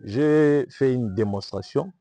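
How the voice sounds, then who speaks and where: An emphatic man with a Congolese French accent, speaking as a prophet in a sermon-like interview answer. He leans on repetition and rhetorical questions at a middle pitch. The sound is dry and close, with little room echo. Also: takes it slowly, dark tone. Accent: Congolese French (Kinshasa). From Congo (Kinshasa). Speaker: prophet and preacher. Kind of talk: preaching-style interview answers.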